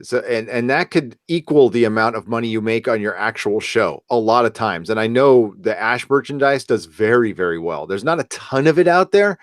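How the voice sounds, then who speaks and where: A man's voice: medium pitch, expressive, normal speed, few pauses, no room sound, clean studio sound. A man hosting a live podcast in casual chat, rambling and joking, with some swearing. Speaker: podcast host.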